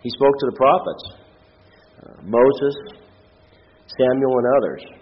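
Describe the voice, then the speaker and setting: A man with a head cold and a nasal, congested voice gives an informal sermon as a lay preacher, in a halting, plain-spoken way. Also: medium pitch, phone-quality sound. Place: church, speaking before a congregation.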